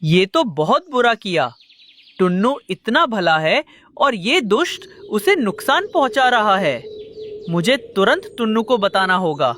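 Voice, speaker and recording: A woman's voice: medium pitch, very animated, measured pace, fairly bright tone, slight background noise. A woman voicing a loyal, urgent, indignant parrot in a children's cartoon. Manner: urgent, emphatic. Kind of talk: Hindi kids' cartoon dialogue.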